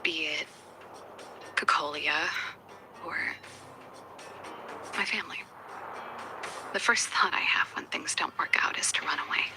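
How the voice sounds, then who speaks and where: An actress voicing a reflective, candid adult sister in a video game, with a breathy, husky voice and a measured, gently wry, confiding way of talking. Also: female voice, low voice, in a whisper, over music, poor audio. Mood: reflective, resolved. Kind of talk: English video game voice acting.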